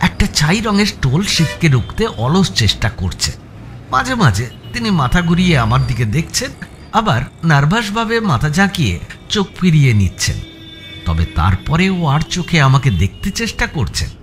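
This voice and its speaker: Highly animated male suspense narrator, Bengali accent. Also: moderate pace. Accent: Bengali from West Bengal, India. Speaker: audio story narrator. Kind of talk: dramatic first-person suspense audiobook narration. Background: music.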